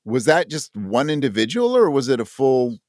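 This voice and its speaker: A man's voice: medium pitch, very animated, moderate pace, no room sound, clean studio sound. A man talking as a guest in a casual interview, reflective and self-deprecating. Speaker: podcast guest, actor and audio drama writer.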